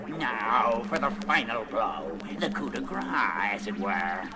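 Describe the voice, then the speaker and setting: An actor playing an embittered, half-blind underground tyrant in a 1970s superhero record melodrama, speaking in grandiloquent, theatrical phrases. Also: male voice, lowish voice, animated, slow, noisy background. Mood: triumphant. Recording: voice over loud dramatic music.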